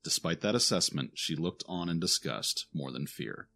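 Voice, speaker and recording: A man's voice: a bass voice, lively intonation, normal speed, creaky, bright, forceful tone. A man reading scripted audio drama narration in a dramatic, solemn storytelling manner.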